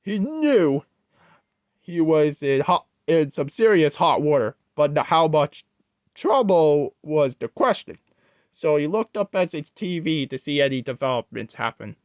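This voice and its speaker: Slow, halting storyteller, very dry sound. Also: medium pitch, no room sound. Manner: halting, corrects own wording mid-sentence. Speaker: host, storyteller. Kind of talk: creepypasta storytelling narration.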